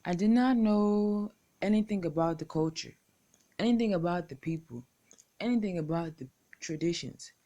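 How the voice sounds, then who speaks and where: A young American woman reciting a poem and making a slow, deliberate appeal as a contest entrant. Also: on the low side, dark tone, muffled recording. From United States. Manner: slow, deliberate, measured phrasing. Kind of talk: recorded poetry recital and appeal.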